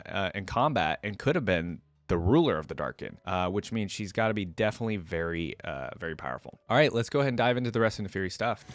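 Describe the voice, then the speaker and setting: A man's voice: on the low side, no echo. A man reacting off the cuff in a casual, tentative way as the host of a reaction video.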